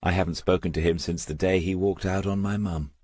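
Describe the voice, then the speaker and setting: A male narrator plays a resolute young mother who speaks frankly and bitterly in a crime audiobook. The voice is low for her and slightly husky, recorded close and dry. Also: steady tone, brisk, a little mellow, dry recording. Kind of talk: audiobook character dialogue.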